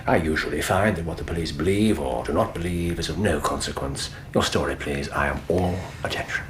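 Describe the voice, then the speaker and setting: An actor playing an incisive, self-assured British gentleman detective in a period radio drama, with precise, formal and commanding delivery. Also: rumbling low, a little fast, slight background noise. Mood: intent.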